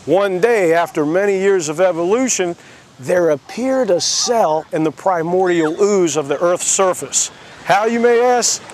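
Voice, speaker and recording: A man's voice: high voice, lively intonation, measured pace, darkish tone. A man narrating a satirical story in a mock-naive, sarcastic explaining manner.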